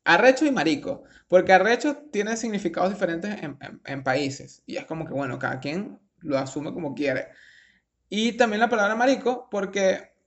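A man's voice: sits high, sing-song, normal speed. A young Venezuelan man with a Venezuelan accent, casually commenting on a news article, rambling with restarts and slang. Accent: Venezuelan Spanish.

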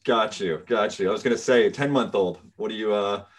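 A man's voice: lowish voice, fairly quick. A man hosting a podcast, chatting casually and laughing often. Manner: laughs often, friendly and joking. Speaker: podcast host. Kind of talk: casual interview chat.

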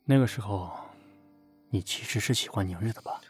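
A man's voice: lowish voice, normal speed, husky, soft background music. A man in an audio drama playing a cold, jealous and possessive company boss with a curt, sardonic way of talking. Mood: probing, subdued. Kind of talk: amateur Chinese audio drama acting.

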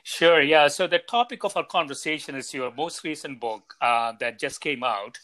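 A man's voice: on the high side, moderate pace, sharp tone. A man hosting a podcast, reading a set introduction and then talking casually with many hesitations. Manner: hesitant, many fillers in conversation. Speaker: podcast host.

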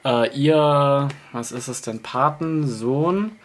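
A man's voice: medium pitch, takes it slowly. A man in his twenties, a dancer guest chatting loosely and jokingly on a podcast. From Kaiserslautern area, Palatinate, Germany. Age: 20s. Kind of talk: casual podcast chat.

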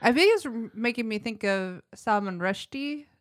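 A woman chats as a podcast co-host with a very animated, swinging pitch. Her style is casual and joking, full of wry, skeptical interjections. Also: normal speed.

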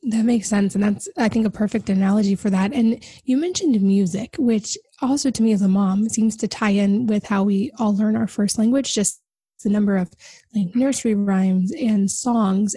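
A woman's voice: mid-range voice, mellow, breathy edge, dry recording. A woman hosting a podcast, asking curious, thoughtful questions. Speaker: podcast host. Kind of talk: interview questions.

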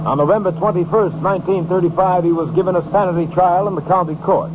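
An actor as a formal, matter-of-fact narrator reading case results in 1950s radio documentary style. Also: male voice, high-pitched, fairly even intonation, quick pace, natural voice. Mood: sober. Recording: noisy, dull old radio recording.

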